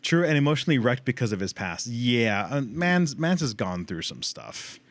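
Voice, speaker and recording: A man's voice: mid-range voice, sing-song, normal speed, no room sound. A man chatting casually as a livestream host and gamer, rambling in loose slang with frequent swearing.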